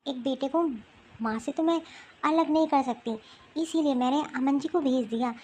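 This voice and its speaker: A woman playing a hurt, resolute young married woman in an amateur family cartoon, with an emphatic, drawn-out delivery. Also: high voice, a little mellow, lightly acted.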